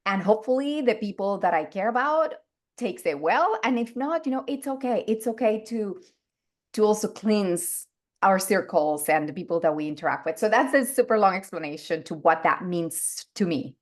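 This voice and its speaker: A woman in her forties from Venezuela, a guest on a podcast, talking candidly and expressively with animated quoted asides. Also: mid-range voice, normal speed. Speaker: guest, life coach and actor. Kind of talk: casual podcast conversation.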